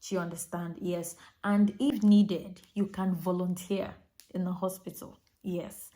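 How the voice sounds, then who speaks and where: A woman's voice: fairly low pitch, flat delivery, a little slow, dark tone. A young Nigerian woman, a nurse, talking directly to viewers with chatty, practical migration advice. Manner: chatty, direct, addresses viewers as you guys. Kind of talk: advice vlog to camera.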